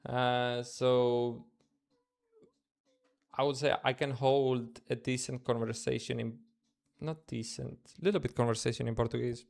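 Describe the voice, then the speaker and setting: Man, Estonian accent, native Estonian, mid-pitched, smooth clear voice, casual, chatty, live stream from his room. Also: steady tone, measured pace, a little mellow. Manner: casual, chatty, thinks aloud in loose non-native English. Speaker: day trader streaming live. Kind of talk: live stream commentary, answering chat.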